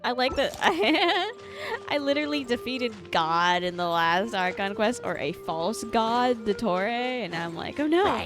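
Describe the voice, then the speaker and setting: Young female game streamer, expressive and giggly, fairly high voice with big swings, music underneath. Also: unhurried. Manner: expressive, theatrical, giggly, swearing casually. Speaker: live streamer. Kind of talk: casual game livestream commentary.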